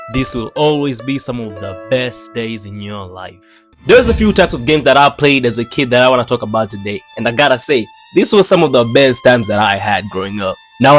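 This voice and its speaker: A young man from Tanzania, narrating casually in a slangy, joking, conversational way. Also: medium pitch. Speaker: YouTube storyteller. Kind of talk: casual scripted narration for animated video. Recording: background music under the voice. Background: music.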